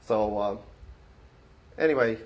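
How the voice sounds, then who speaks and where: A man's voice: lowish voice, lo-fi sound. A man giving an informal show-and-tell about his hobby at a club meeting, speaking into a handheld mic, full of fillers and restarts. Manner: halting, many restarts and fillers. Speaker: club member presenting his collection. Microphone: handheld microphone.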